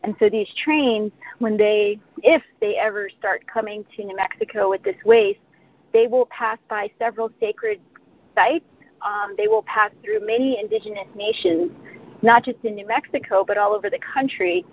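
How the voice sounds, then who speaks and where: A woman speaking earnestly as a panelist on a telebriefing, pausing and restarting often. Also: medium pitch, expressive. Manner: earnest, halting with frequent restarts. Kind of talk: speaking on a conference call. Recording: muffled phone-line audio.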